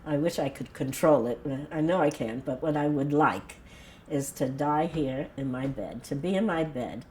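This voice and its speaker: A woman's voice: low-pitched, animated, moderate pace, mellow, slight background noise. An elderly woman, a retired nurse talking frankly and thoughtfully at her home in a documentary interview. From Brooklyn, New York. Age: 80s. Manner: frank, plain-spoken and reflective. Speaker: retired nurse and death cafe organizer.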